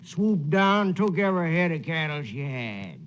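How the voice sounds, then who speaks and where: An actor playing a folksy, skeptical old cowhand with a drawling colloquial manner in a 1940s radio western. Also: male voice, on the high side, sing-song, normal speed, clean tone. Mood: grave, folksy. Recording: dull, slightly noisy 1940s radio recording.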